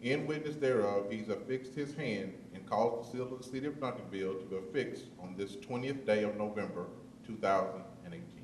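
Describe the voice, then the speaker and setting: A man, a city councilmember, reading a formal proclamation aloud in a steady way. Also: lowish voice, brisk, noisy background. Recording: echoey chamber, mic from far off.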